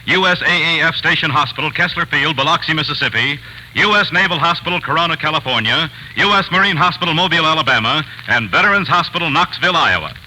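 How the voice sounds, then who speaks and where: A man's voice: on the high side, expressive, fast talker, pushed and bright, poor audio. An American man announcing from a script in the style of 1940s network radio. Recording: vintage 1940s radio broadcast. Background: music.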